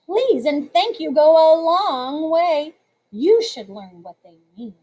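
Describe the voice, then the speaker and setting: A woman's voice: high-pitched, lively intonation, takes it slowly, mellow. A woman reading aloud, voicing a prissy know-it-all schoolgirl who lectures on manners in a preachy, sing-song way. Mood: smug.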